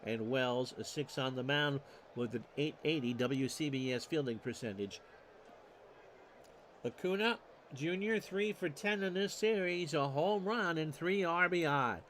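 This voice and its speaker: A middle-aged man hosting and calling a simulated baseball game, folksy and quick to correct and joke about his own slips. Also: fairly high pitch, fairly bright tone, a little hiss or hum. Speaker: host and play-by-play caller of a simulated baseball replay. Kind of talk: solo tabletop sports simulation commentary streamed live.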